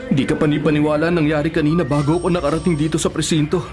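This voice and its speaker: A male actor plays a defiant policeman with a fairly high voice. He is brash and boastful in radio drama style, over prominent music. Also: flat delivery, very fast, close to an everyday voice. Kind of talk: Filipino radio drama acting.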